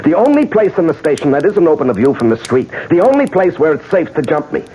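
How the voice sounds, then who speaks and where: An actor playing a hard-bitten, suspicious ex-convict in a 1970s radio drama, talking in tough, sardonic, clipped slang. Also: male voice, a little high, sing-song, fast talker, warm tone. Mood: accusing. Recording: noisy old radio broadcast recording.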